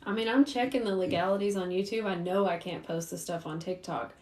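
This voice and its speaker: A woman's voice: fairly low pitch, fairly even intonation, fairly quick, darkish tone. A woman hosting an informal livestream, chatting casually and speaking directly to viewers as she answers their questions. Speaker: host, private investigator. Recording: roomy echo.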